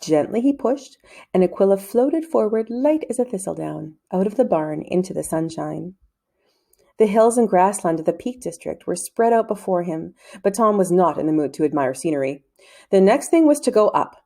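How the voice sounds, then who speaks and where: A woman reading a children's novel aloud as a storyteller, in measured, clearly phrased narration. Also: mid-range voice, expressive, mellow.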